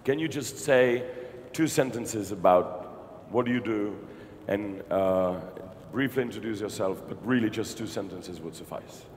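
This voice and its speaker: A man at middle pitch moderates a conference panel, joking easily between halting restarts. His voice rings in a long hall reverb with a faint hum of noise underneath.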